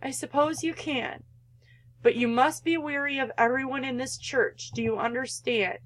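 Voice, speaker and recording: A woman's voice: high voice, fairly even intonation, moderate pace, a bit bright, poor audio. A woman voicing a cold, belittling, controlling mother in a visual novel, with a condescending, guilt-tripping manner. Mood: pitying contempt.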